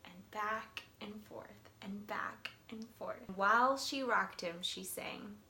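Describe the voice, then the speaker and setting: A woman reading a picture book aloud with expressive storytelling. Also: mid-range voice, slow pace. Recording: roomy, echoey sound with faint noise.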